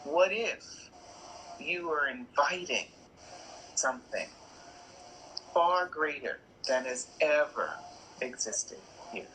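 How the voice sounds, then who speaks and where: A woman guest on an online live call, speaking in a slow, reflective coaching style and posing questions to listeners. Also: low-pitched, lively intonation, a bit bright, a little hiss or hum, rough recording. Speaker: workshop leader, interview guest.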